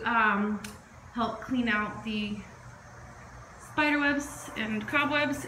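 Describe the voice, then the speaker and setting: A young woman, chatting excitedly as she films a walkthrough of a house she is renovating, speaking into her phone. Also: a little high, a little hiss or hum, poor audio. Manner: chatty, excitable, jumps between topics. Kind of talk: casual walkthrough vlog. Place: empty house and yard, indoors and outdoors. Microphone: phone held while filming.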